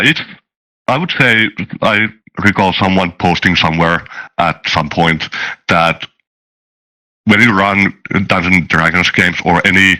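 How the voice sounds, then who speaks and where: Deep, bright-voiced man answering hesitantly. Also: poor audio. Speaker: guest, tabletop game master. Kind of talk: casual interview answers.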